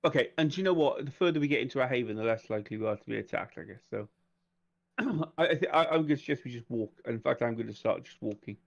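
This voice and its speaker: A man's voice: mid-range voice, lively intonation, fast, creaky, lo-fi sound. A man playing a tabletop game, taking charge and proposing plans in casual chat.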